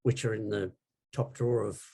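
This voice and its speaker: A man's voice: on the low side, steady tone, normal speed, gravelly, warm tone, slightly rough. A man co-hosting a casual interview, reading questions sent in by listeners. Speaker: co-host, interviewer.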